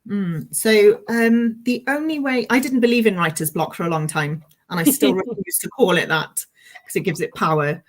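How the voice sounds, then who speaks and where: Chatty, self-deprecating woman author, medium pitch. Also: normal speed. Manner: self-deprecating, enthusiastic, informal. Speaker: guest author. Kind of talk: casual interview chat.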